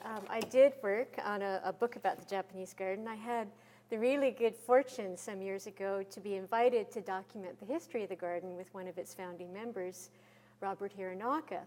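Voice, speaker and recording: A soft-spoken woman giving a measured conference presentation from a podium. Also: medium pitch. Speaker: conference speaker. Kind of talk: presentation talk.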